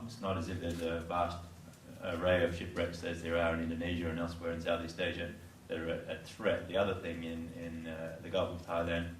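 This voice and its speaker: A man with a very deep, flat voice answers a panel question in a plain, measured way. He sits away from the mic, in an echoey hall with faint noise. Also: recorded from a distance. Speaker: panelist, shipwreck expert.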